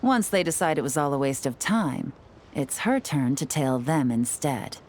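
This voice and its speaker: A cool, low-voiced secret agent. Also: female voice, a little hiss or hum. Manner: calm, measured, quietly confident. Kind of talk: video game voice acting.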